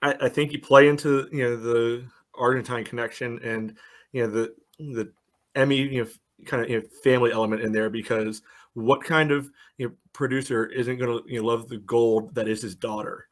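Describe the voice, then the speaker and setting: A man's voice: mid-range voice, steady tone, fairly quick. Male sports podcast co-host, conversational analysis, filler-heavy speech, dry sarcastic streak. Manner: says "you know" constantly as filler. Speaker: podcast co-host and soccer fan pundit.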